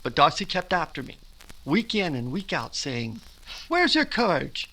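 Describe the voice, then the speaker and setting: Actor doing excitable young gentleman, bright voice, super animated, old radio romance style. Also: on the high side, measured pace, heavily acted, poor audio. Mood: excited, happy. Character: eager, excitable, good-natured young gentleman in love. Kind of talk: recreated 1940s radio drama acting.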